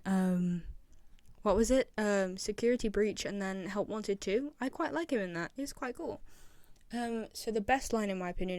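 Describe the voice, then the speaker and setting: A woman's voice: mid-range voice, a little mellow, no echo, studio quality. A woman presenting casual fan commentary in an enthusiastic way, repeating phrases for emphasis. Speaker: video presenter.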